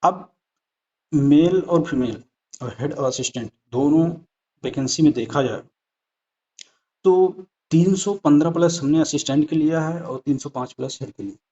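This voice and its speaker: Man, fairly high voice, exam-prep presenter, direct conversational explaining, repeats for emphasis, dull low-fidelity audio. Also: normal speed, dark tone. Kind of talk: explanatory talk over slides. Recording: dull lo-fi sound.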